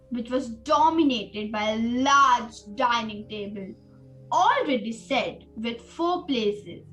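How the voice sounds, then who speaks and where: A woman's voice: fairly high pitch, a bit bright, low-quality recording. A woman narrating a spooky student radio play as a scripted storyteller.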